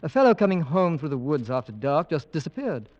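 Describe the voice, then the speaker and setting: Urbane young English husband, lightish voice. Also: male voice, on the high side, very animated, fairly quick, darkish tone. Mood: dismissive. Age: young adult. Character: pleasant young English husband, doting but uneasy. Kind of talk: 1940s-50s radio drama acting. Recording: dull old radio recording.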